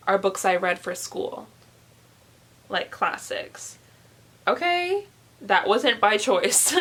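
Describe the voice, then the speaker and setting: A young woman in her late teens chatting casually to camera as a book vlogger, rambling with animated, self-deprecating asides. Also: mid-range voice. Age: young adult, late teens.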